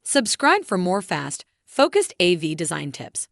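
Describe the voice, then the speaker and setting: A woman reading a scripted technical tutorial in a clear, measured, instructional manner. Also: on the low side, very animated, a bit bright, no echo, studio quality. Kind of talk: scripted explainer narration.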